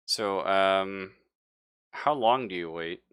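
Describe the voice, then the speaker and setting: A man's voice: sits low, slow pace, fairly bright tone, smooth. A man running a tabletop roleplaying game, improvising narration and bantering casually with his players. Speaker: game master.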